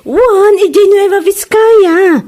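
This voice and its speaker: A woman playing an aggrieved, quick-tempered seller in a comedy drama, with an Ilocano accent. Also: extremely high pitch, warm tone. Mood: chatty, proud.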